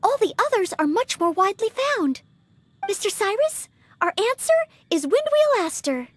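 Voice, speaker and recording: An actress playing an earnest, studious, polite young maid-knight in a video game, speaking with careful courtesy. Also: female voice, very high-pitched, sing-song, normal speed, no room sound. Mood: sudden confidence. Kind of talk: video game character acting.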